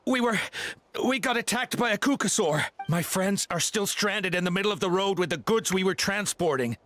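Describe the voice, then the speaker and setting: An eager, effusive male merchant in a fantasy game. His high voice swings up and down excitedly. Faint music plays behind him. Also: moderate pace. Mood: panicked, pleading.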